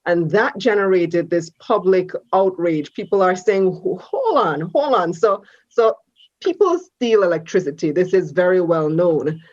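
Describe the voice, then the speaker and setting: A woman's voice: on the low side, lively intonation, measured pace, darkish tone, highs cut off. A woman hosting a TV business interview, asking direct, pressing questions. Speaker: host.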